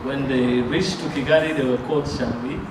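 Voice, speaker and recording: A man interpreting a sermon line by line, halting, with fillers and restarts. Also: medium pitch, steady tone, distant mic. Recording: echoey room, picked up from afar, noisy.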